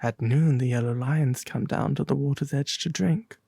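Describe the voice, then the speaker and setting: A man voicing a kindly, earnest little swallow in a storybook, soft and breathy, wistful and gentle. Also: medium pitch, normal speed, dry recording, studio quality. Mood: dreamy.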